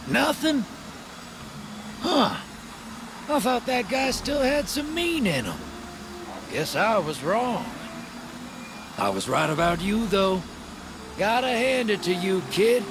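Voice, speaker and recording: A man playing a wry, streetwise alien crime boss with a soft heart in a video game, talking in a casual wiseguy drawl. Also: high-pitched, exaggerated voice. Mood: incredulous, wry. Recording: clear background noise.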